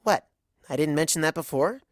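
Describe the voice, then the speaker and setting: A man's voice: fairly high pitch, expressive, moderate pace, no echo, voice pushed up, close to an everyday voice. A man voicing a young female unicorn heroine, dry-witted and stubborn, talking in a wry, casual way in a story reading. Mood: wry, playful.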